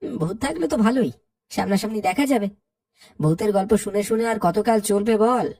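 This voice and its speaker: A daring village teenager voiced by a woman in a cartoon, cocky and reckless. The voice is husky and very animated in pitch. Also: moderate pace. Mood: bold. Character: bold, reckless village teenager mocking ghost stories.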